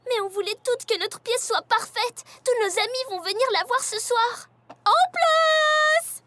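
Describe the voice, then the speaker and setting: Very high, feisty little pony girl. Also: unhurried, background noise. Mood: insistent. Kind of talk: French cartoon dubbing.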